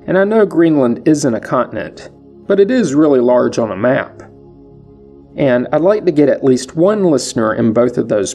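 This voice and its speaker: A man hosting his own history podcast, reading a measured, matter-of-fact scripted monologue. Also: medium pitch, vocal fry, a little mellow. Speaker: podcast host.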